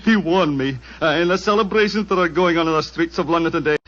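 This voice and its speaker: A man playing a fearful, excitable, self-important gentleman with a weak heart in a 1940s radio drama, melodramatic and pompous in manner. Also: a little high, fast, natural voice. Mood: agitated, insistent. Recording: muffled old-time radio recording.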